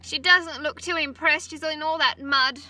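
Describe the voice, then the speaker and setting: An Australian woman in her thirties, a teacher gently reading a picture book aloud to children outdoors on a farm, adding chatty asides. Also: high voice, fairly even intonation, normal speed. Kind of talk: children's story time read-aloud. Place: outdoors on a farm beside a utility vehicle. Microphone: phone camera held close.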